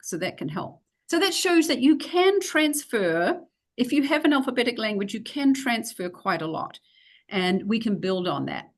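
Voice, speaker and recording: A middle-aged woman with a New Zealand accent, explaining reading instruction for English learners in a recorded video-call lecture, practical and full of fillers. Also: mid-range voice. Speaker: teacher trainer.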